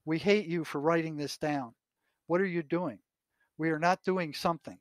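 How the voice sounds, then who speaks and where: An older American man in conversation, telling anecdotes and reading aloud from notes in a halting way, often repeating his words. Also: on the high side, no room sound. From United States. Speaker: retired psychotherapist, podcast guest.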